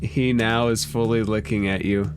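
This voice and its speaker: A man's voice: fairly low pitch, fairly even intonation, moderate pace. A man narrating a tabletop roleplaying game as game master, with music behind him.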